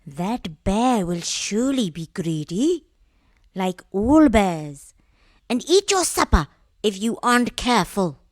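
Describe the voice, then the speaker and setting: A man voicing a bossy, sharp-tongued village wife in a falsetto, reading a storybook. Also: very animated, takes it slowly, no room sound, clean studio sound, exaggerated voice. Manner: shrill falsetto, scolding. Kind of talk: storybook read-aloud.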